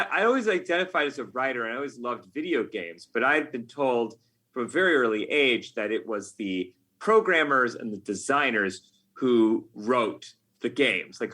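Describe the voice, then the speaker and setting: A man's voice: medium pitch, animated, moderate pace, sharp tone. A man talking casually as an interview guest, telling stories in a jokey, self-deprecating way. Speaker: podcast guest, game writer.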